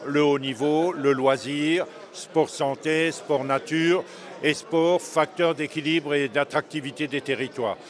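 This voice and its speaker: A man giving a measured, formal explanation as an interviewee at an event. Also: a little high. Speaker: event participant being interviewed.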